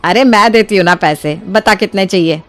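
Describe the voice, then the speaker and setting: A woman voicing a trusting, soft-hearted older mother-in-law in a cartoon moral story, with an earnest, expressive delivery. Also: mid-range voice, moderate pace, lightly acted. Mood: eager, kindly. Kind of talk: animated Hindi moral story dialogue. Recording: music behind the voice. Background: music.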